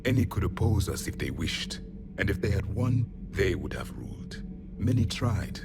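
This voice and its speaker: An actor playing a grim, proud alien warrior, the last of a fallen empire, speaking in solemn, blunt declarations for a video game. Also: male voice, sits low, big pitch swings, normal speed. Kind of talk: dramatic video game acting. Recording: dramatic music behind the voice.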